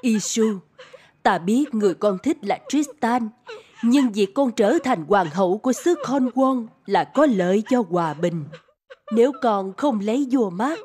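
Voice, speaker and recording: Husky older cartoon queen. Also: fairly high pitch, a little mellow. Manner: formal, measured, admonishing. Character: stern, persuasive older queen mother. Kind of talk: dubbed cartoon fairy tale acting.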